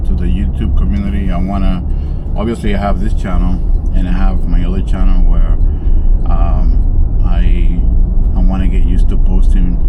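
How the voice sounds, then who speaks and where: Low-voiced man vlogging by traffic. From Dominican Republic. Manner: rambling, many fillers and restarts. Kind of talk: casual walk-and-talk vlog. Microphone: handheld camera. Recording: loud traffic noise outdoors.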